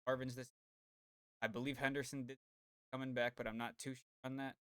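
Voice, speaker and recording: A man's voice: medium pitch, level tone, brisk, sharp tone, smooth, dry recording, studio quality. A man co-hosting a casual podcast, rambling and laid-back, swearing freely. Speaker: podcast co-host.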